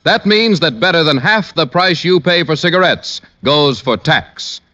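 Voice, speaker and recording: A man's voice: on the high side, animated, unhurried. A man reading scripted announcements formally, in the style of a mid-century radio broadcast. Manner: formal, polished announcer delivery. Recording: muffled old radio broadcast. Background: music.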